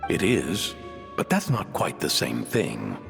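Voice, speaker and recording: A man's voice: low-pitched, wildly swooping pitch, slow pace, gravelly, heavily acted. A man voicing a thoughtful, patient inventor mentor speaking philosophically in an anime video game. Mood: patient, assured. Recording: reverberant hall, music underneath. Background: background music.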